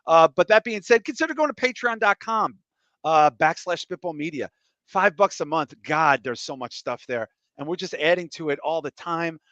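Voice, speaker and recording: A man's voice: a little high, lively intonation, moderate pace, fairly bright tone, no echo. A man hosting a casual comedy podcast, cracking crude jokes and plugging the show with energy. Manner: crude joking, enthusiastic show plugs. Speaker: podcast host. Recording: muffled, slightly lo-fi stream audio.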